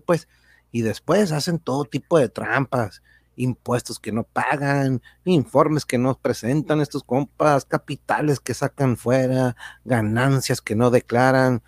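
A man's voice: medium pitch, darkish tone, no echo, clean studio sound. A man playing a folksy, indignant explainer, full of colloquial tags and rhetorical questions in a radio drama. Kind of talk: educational radio drama dialogue.

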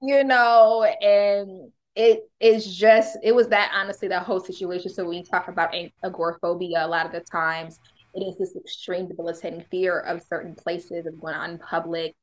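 A woman guest on a podcast with a stutter, telling her story in a candid, animated way and laughing often. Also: lowish voice, normal speed, rough recording. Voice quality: has a stutter. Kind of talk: casual conversational interview.

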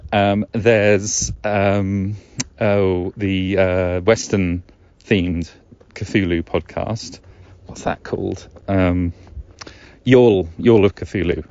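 Low-voiced man rambling on a podcast. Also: darkish tone, no room sound. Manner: chatty, digressive, self-correcting. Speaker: podcast host. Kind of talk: casual rambling solo podcast talk. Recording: slightly dull, lo-fi sound.

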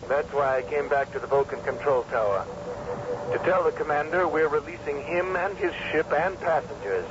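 High-ish earnest defector, noisy old radio male actor. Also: expressive, moderate pace, lightly acted. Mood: earnest, resolute. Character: earnest defecting husband, torn but resolute. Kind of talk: old-time radio drama acting. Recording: dull, noisy old radio drama recording.

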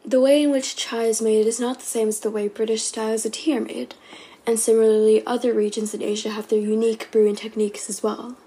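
Female narrator, warm and mellow, measured scripted read. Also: on the high side, little pitch movement. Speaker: podcast narrator.

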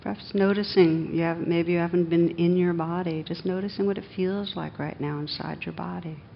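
Low-voiced woman guiding meditation, slightly muffled. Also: fairly even intonation, gravelly. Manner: gentle, unhurried, with long pauses. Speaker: meditation teacher. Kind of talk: guided meditation. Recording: dull, muffled sound with faint rumbling noise.

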